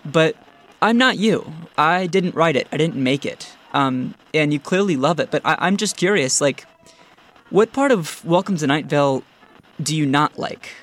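Young male podcast interviewer, slightly high voice, casual and earnest, halting, openly enthusiastic delivery. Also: animated, fairly bright tone. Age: young adult.

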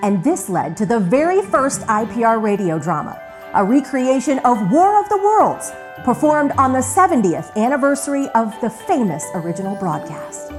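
Animated woman radio host. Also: a little high, normal speed, over music. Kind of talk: scripted radio narration.

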